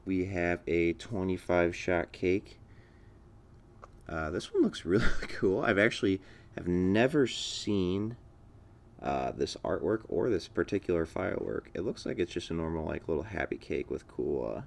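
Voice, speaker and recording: Male vlog host, American, low-pitched voice, informal and enthusiastic, chatting through an unboxing. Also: lively intonation, unhurried, creaky. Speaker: fireworks channel host. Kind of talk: casual unboxing commentary.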